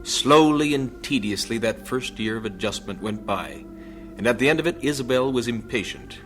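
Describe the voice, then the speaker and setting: A man narrating a radio drama in a measured, formal way. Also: mid-range voice, expressive, a bit bright, soft background music.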